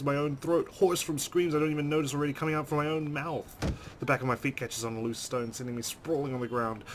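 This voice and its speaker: A man's voice: mid-range voice, noisy background. A man hosting a let's play, reading story text dramatically and adding casual commentary.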